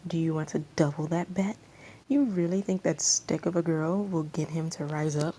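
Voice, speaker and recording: A woman's voice: low-pitched, steady tone, normal speed, warm tone, a little hiss or hum, close to an everyday voice. A woman voicing a mocking, haughty young female rival with a scornful, indignant manner in a story reading.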